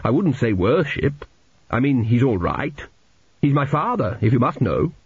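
Man as awkward, mild, husky teenage boy. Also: mid-range voice, sing-song, moderate pace, no echo, dull audio, exaggerated voice. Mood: discomfited. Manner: hesitant, polite, evasive.

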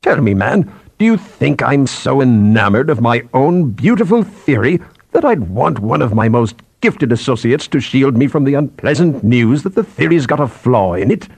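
An austere old man of science, acted by a male audiobook reader, speaks with commanding weight. He is exasperated and emphatic, in a medium-pitched voice with a very dry sound. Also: sing-song, moderate pace, vocal fry, darkish tone, husky, no echo, full character voice. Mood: sharp, probing. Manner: ominous, emphatic.

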